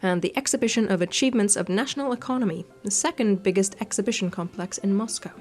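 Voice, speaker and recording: A woman's voice: mid-range voice, fast, darkish tone. A woman doing a visual novel playthrough, reading the text aloud expressively and adding playful asides. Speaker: gaming video creator. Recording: music behind the voice. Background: game music.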